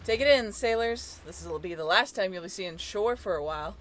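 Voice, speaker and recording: A woman's voice: mid-range voice, animated, brisk, a bit bright, slight background noise, lightly acted. A young woman playing a friendly, teasing sailing-ship crew member in an amateur audio roleplay, chatty and informal with a joking streak. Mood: rousing, commanding. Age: young adult.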